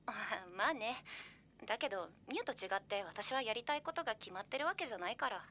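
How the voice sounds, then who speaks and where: A woman's voice: on the high side, expressive, fast. An actress playing a caring, hesitant young woman, a worried best friend on the phone in a Japanese horror radio drama. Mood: warm, encouraging. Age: young adult. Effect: telephone voice filter. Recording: band-limited, phone-call sound.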